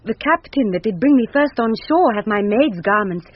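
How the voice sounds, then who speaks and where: An earnest young woman in boy's disguise, in 1970s radio Shakespeare. She speaks measured verse in a breathy mid-pitched voice with a British accent, on a dull old recording. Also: animated. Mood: devoted.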